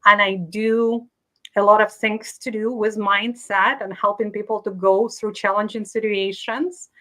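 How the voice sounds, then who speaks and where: Bright, earnest woman from Ukraine, Russian her first tongue, interview guest on a call. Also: mid-range voice, low-quality recording. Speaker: guest, leadership coach. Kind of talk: conversational interview.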